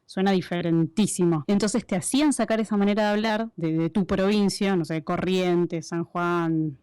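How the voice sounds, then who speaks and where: Woman, Argentine accent, chatty explainer, smooth clear voice, dry close sound. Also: lowish voice, steady tone, moderate pace, darkish tone, no room sound. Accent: Argentine Spanish. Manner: chatty, explains with many examples and fillers. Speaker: radio announcer guest. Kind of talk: casual interview chat.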